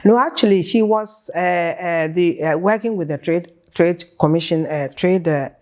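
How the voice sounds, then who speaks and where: A woman's voice: fairly low pitch, normal speed, a little mellow. A woman in her seventies with a Ghanaian accent touched with British, speaking as an interview guest. She tells her life story conversationally, hesitating and repeating phrases for emphasis. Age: 70s.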